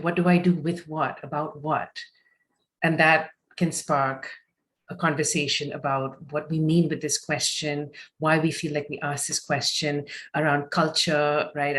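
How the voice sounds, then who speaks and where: A woman from India taking part in an online workshop, talking in a lively, conversational way full of rhetorical questions. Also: sits low. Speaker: workshop participant. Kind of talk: casual personal sharing.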